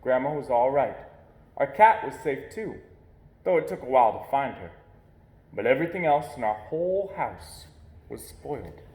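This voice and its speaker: A man reading a children's picture book aloud in an expressive storytelling style, pausing deliberately for emphasis. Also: mid-range voice. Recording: echoey, roomy sound.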